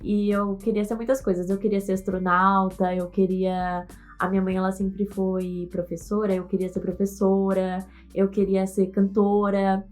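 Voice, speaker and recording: A woman's voice: mid-range voice, little pitch movement, moderate pace, darkish tone, faint music behind. An eighteen-year-old woman, a university student, telling her story in a conversational way and sometimes searching for words. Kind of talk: personal testimony to camera.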